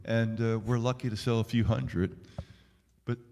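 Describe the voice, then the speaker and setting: American male singer-songwriter, mid-pitched, unhurried halting banter between songs, self-deprecating, reverberant live sound. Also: flat delivery, a little mellow. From United States.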